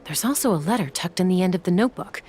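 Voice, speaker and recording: A woman playing a cool-headed, stern young investigator in a video game, speaking in a measured, blunt way. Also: fairly low pitch, lively intonation, a little mellow, slight background noise, close to an everyday voice. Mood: composed, deductive.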